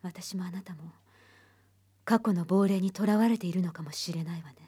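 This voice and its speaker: An actress playing a composed, haunted psychiatrist, speaking in a measured, grave way in a Japanese radio drama. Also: female voice, on the low side, dark tone, breathy edge. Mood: somber, reflective. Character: composed, haunted female psychiatrist telling her dark past.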